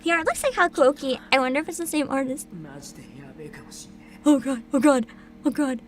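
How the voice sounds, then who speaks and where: A woman hosting a livestream, chatting casually and reacting in an animated, exclamatory way with frequent swearing. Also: high-pitched, moderate pace, faint music behind. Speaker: livestream host, VTuber.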